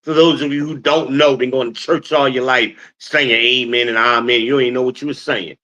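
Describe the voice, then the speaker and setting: A deep-voiced man from New Jersey hosting a casual livestream, telling stories and calling on the chat like a preacher asking for an amen. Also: steady tone, moderate pace, bright, forceful tone, lo-fi sound. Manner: preacher-like call and response with the chat. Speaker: podcast host.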